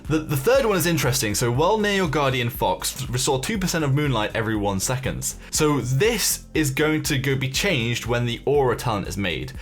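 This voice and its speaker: A man with a medium-pitched voice hosts a gaming video over background music. He explains details casually, musing and speculating as he goes. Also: very animated, moderate pace, hardly any pauses. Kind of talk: casual game commentary, talking through details. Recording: music behind the voice.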